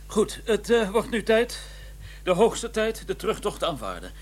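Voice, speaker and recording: A man's voice: sits high, wildly swooping pitch, moderate pace, full character voice. A man acting a formal, dryly sarcastic and exasperated scholar who also narrates in a radio drama. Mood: polite, hurried. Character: prim, exasperated English scholar, a doctor, narrating his journey.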